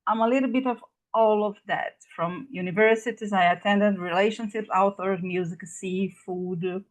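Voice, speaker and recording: A woman's voice: lowish voice, rough recording. A woman from Brazil, a native Portuguese speaker with a Brazilian Portuguese accent, giving a casual online class presentation as a guest lecturer. She speaks hesitantly and apologetically, with many fillers and restarts. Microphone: video call.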